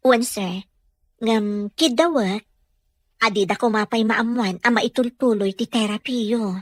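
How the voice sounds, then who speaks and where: Actress as a high-voiced, patient caregiver. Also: female voice, measured pace, dry recording. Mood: encouraging. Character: patient caregiver and mother, dutiful and caring. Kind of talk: Ilocano radio drama acting.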